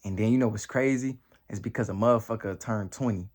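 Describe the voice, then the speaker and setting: Emphatic nineteen-year-old man, slangy and repetitive. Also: fast. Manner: voice climbs high and loud when pressing a point. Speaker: motivational vlogger. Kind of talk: motivational talk to camera.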